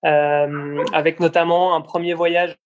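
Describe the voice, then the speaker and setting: A young man, on the high side for a man. His delivery stays flat and even as he works through answers, pausing on fillers and starting phrases over. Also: a little hiss or hum. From Belgium. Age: 20s. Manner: hesitant, many fillers and restarts. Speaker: guest, brand founder. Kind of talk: casual interview answers.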